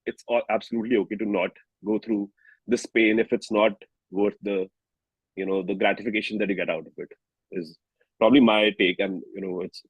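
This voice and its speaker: A man with an Indian accent speaking reflectively as an interviewed singer-songwriter, haltingly and often rephrasing himself. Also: fairly low pitch, husky, dry recording, low-quality recording. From New Delhi, India.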